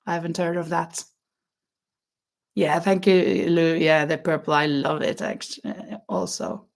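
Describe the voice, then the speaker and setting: A woman's voice: fairly low pitch, fairly even intonation, unhurried, creaky. A woman with a non-native English accent, hosting a casual crochet live stream and chatting with viewers. She talks loosely, laughs often and stops to search for words.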